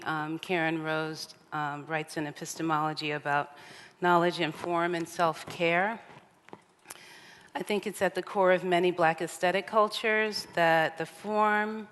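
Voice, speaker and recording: A woman with a deep, low voice gives a measured lecture from a theater stage, stopping often on ums. Her voice rings with long hall reverb over a faint hiss. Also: fairly even intonation, a bit bright. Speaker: artist giving a conference talk. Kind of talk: lecture with slides. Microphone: reading at a lectern.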